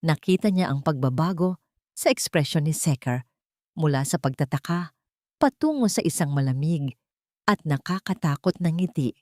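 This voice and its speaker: A Filipino woman reads a dramatic audiobook story in a fairly low voice. The recording is dry and close, with very little room sound. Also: animated, moderate pace, a little mellow, no echo. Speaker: audiobook narrator. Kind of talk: dramatic scripted story narration.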